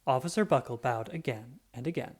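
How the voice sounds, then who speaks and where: A storybook narrator reading aloud for children in an expressive, dramatic way with drawn-out emphasis. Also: medium pitch, husky.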